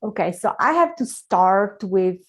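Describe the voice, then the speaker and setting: A woman from Poland with a Polish accent, a talk show guest telling her life story in an effusive, animated way. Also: mid-range voice, unhurried, slightly rough. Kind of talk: interview storytelling.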